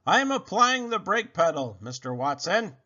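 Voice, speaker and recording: Guy doing a sweet eager lady, really high and excitable, storybook read, slightly dull audio. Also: moderate pace, heavily acted. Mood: urgent. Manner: earnest, excitable. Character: eager, cheerful sweet lady, younger sister.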